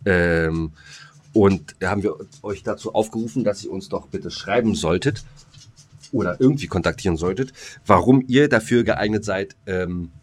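A man's voice: low-pitched, normal speed. A man co-hosting a casual podcast, joking in slangy speech, rambling and often interrupting himself. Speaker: podcast co-host.

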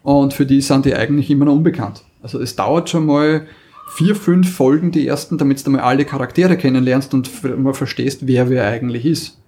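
Austrian man, mid-pitched, chatting casually. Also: brisk, dark tone. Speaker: podcast co-host. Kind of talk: casual podcast chat.

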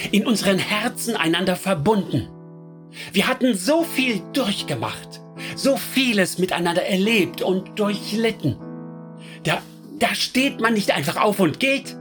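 A woman narrating in first person for a radio drama, solemn and reflective. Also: on the low side. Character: woman looking back on the crusade, first person.

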